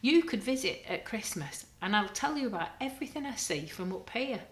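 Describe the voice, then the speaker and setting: A woman's voice: medium pitch, lively intonation, brisk, voice pushed up, lightly acted. A woman voicing a kind, earnest male cardboard spider toy in a storybook reading. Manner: earnest, pleading. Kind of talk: storybook read-aloud. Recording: roomy echo.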